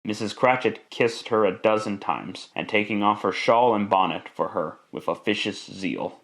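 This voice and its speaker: A man's voice: on the low side, normal speed, vocal fry, a bit bright. A man narrating a classic radio drama in a theatrical, emphatic storytelling style.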